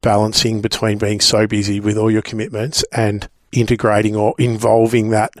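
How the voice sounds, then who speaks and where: A man's voice: on the low side, monotone, moderate pace, dry recording. A man with an Australian accent doing a casual interview, matey and encouraging in the way he asks questions.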